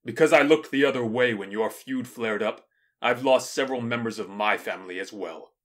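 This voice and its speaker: A man playing a stern, commanding ruler passing judgment with deliberate authority in an audio drama. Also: medium pitch, lightly acted. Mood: grave, commanding. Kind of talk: modernized Shakespeare audio drama acting.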